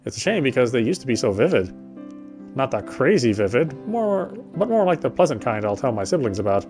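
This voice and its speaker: A man's voice: medium pitch, sing-song, brisk. Male narrator for horror visual novel, dramatic storytelling, occasional stumbles, music underneath. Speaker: gameplay streamer reading a visual novel aloud. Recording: music behind the voice. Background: game music.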